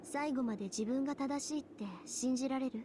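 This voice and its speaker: A woman's voice: high voice, rapid-fire, background noise, lightly acted. An actress playing a proud, insistent teenage girl in a Japanese visual novel, with a pressing, indignant manner. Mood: insistent, nervous.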